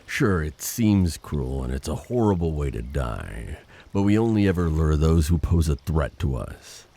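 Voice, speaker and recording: Man playing a very deep, ruined-voiced siren. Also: very animated, unhurried, warm tone, full character voice. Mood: grim, resentful. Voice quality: damaged, gravelly, husky voice. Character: vengeful wounded male siren, grimly mocking. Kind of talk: dramatic audio roleplay.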